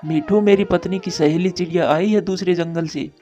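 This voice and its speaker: A man's voice: high voice, fairly even intonation, moderate pace, mellow, faint music behind, close to an everyday voice. A man voicing a caring, troubled adult male bird in a cartoon moral story, speaking earnestly and with plain drama. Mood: concerned.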